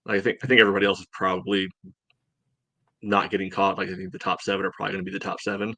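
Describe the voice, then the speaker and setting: Measured man talking soccer, dry close sound. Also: low-pitched, little pitch movement, bright, forceful tone, dry recording. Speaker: sports podcast co-host. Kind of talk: casual soccer analysis.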